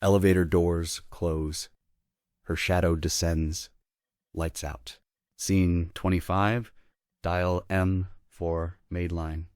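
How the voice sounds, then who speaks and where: A man's voice: low voice, little pitch movement, slow pace, a little mellow, no echo, clean studio sound. A man narrating stage directions in a scripted table read.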